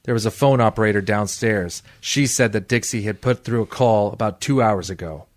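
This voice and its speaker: An actor playing a wisecracking, world-weary private detective in an old-time radio drama, deadpan and sardonic. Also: male voice, fairly low pitch, normal speed, no echo, clean studio sound, close to an everyday voice. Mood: deadpan narrating.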